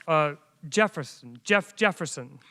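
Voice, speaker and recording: Man playing an exasperated programmer, swooping pitch, echoey hall. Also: lively intonation, sharp tone. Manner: exasperated, halting protests. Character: Jeff Jefferson, exasperated male computer programmer on hold. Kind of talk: radio play comedy acting. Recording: echoey hall, live stage performance.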